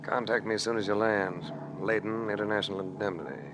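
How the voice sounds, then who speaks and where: A man acts a cool, wry private investigator in a 1940s radio drama. His voice sits on the low side, with a dry hard-boiled delivery, and the vintage recording is clearly noisy. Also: fairly even intonation, fast, lightly acted. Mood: cool, curious. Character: wry, cool male adventurer-investigator narrating his case. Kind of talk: 1940s radio acting, first-person narration.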